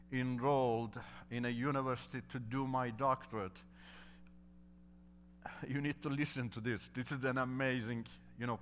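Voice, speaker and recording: A man's voice: mid-range voice, a bit bright, a little hiss or hum. A middle-aged man whose first language is Turkish, giving an earnest testimony in non-native English that builds into emphatic preaching. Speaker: guest speaker telling his testimony. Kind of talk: testimony sermon.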